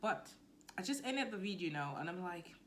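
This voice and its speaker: A young woman with a Nigerian accent talking casually to camera as a business coach, lively and now and then dropping to a near-whisper. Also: on the low side, normal speed, slight background noise. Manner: chatty, animated, drops to near-whisper asides.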